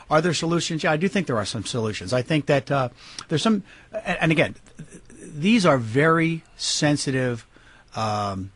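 An American man answering as a radio interview guest, halting and repeating words as he stresses his points. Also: medium pitch, slightly rough, dry recording. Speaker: interview guest, county prosecutor.

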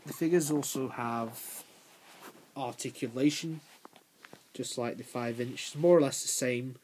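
A young man around nineteen giving a casual, unscripted review as a video creator, halting and pausing mid-phrase. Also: medium pitch, a little mellow, a little hiss or hum. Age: young adult, about 19. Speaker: YouTube toy reviewer. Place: small bedroom, indoors. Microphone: handheld camera's built-in mic.

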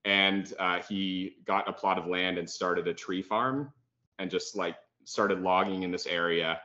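Man, American accent, guest, low bright voice, casual, muffled call audio. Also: fairly even intonation, measured pace. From United States. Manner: laid-back, says "like" a lot. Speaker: podcast guest. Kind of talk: casual video-call interview. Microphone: video call.

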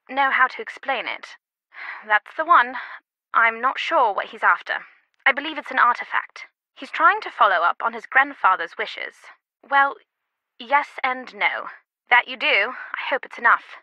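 A woman playing an earnest, slightly guarded and loyal confidante in a video game, speaking in a measured way. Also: fairly high pitch, sharp tone, no echo, poor audio. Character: earnest, secretive female phone confidante, loyal and concerned.

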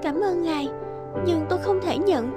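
A woman voicing a gentle, dutiful young bride in a Vietnamese cartoon, speaking politely and earnestly. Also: extremely high pitch, moderate pace. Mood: gentle, sincere. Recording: background music under the voice.

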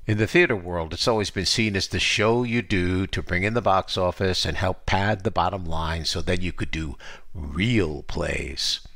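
Low-voiced older man hosting a podcast. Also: a bit bright, no echo, clean studio sound. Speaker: podcast host. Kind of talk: arts podcast interview and scripted announcements.

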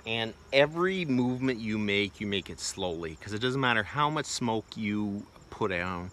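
A man's voice: lowish voice, lively intonation, normal speed, a bit bright, a little hiss or hum. Plainspoken man in an outdoor bee yard. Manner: plainspoken, loose, many fillers. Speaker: homesteading vlogger. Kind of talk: casual how-to demonstration. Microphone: phone camera held close. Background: crickets and insects.

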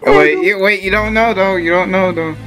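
A man's voice: high-pitched, fairly quick, low-quality recording. A man in a casual group game playthrough, complaining and swearing in an exasperated manner. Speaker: player voicing game characters. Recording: loud music under the voice. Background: game music.

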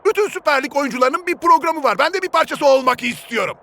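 An actor voicing a cartoon football commentator who talks in a grandiose reality-show announcer style. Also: male voice, very high voice, lively intonation, fast talker, bright, forceful tone, heavily acted. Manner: theatrical, grandiose.

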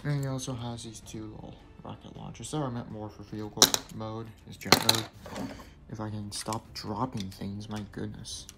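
A man's voice: lowish voice, flat delivery, normal speed, heavy background noise. A young man casually showing off a toy he built, giving step-by-step instructions with small asides and self-corrections. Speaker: toy builder reviewing his creation. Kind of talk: casual hands-on toy review narration. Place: basement room indoors. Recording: music playing in the background.

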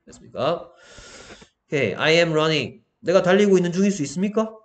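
A man's voice: fairly high pitch, lively intonation, moderate pace, a little hiss or hum. A man teaching English grammar, explaining step by step and often asking questions to check understanding. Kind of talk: explanatory lesson with questions to students.

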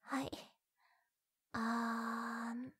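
A woman voicing a bashful, secretly caring tsundere young childhood friend in close-up ASMR roleplay, breathy and often whispering, scolding yet tender. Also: a little high, completely flat delivery, extremely slow, fairly bright tone, dry recording, heavily acted. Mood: gentle, bashful. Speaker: voice actress in roleplay audio. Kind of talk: Japanese ASMR situation-voice roleplay. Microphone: close binaural microphone, dry.

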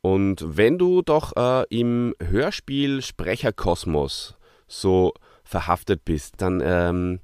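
A man's voice: low voice, very animated, takes it slowly, a little mellow, clean tone, no echo, clean studio sound. A man hosting a review podcast in a casual, conversational way. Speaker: podcast host.

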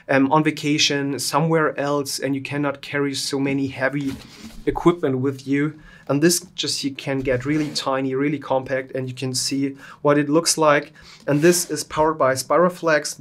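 Young male host, relaxed unboxing chat, flat even delivery, home studio. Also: mid-range voice. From Germany. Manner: informal, loose non-native phrasing with fillers. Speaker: tech channel host. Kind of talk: casual product unboxing.

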